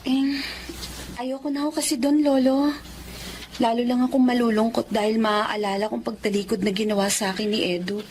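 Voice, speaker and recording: A woman's voice: a little high, steady tone, normal speed, darkish tone, a little hiss or hum, close to an everyday voice. A woman playing an earnest, respectful young mother-to-be in a Filipino radio drama. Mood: wounded, resolute.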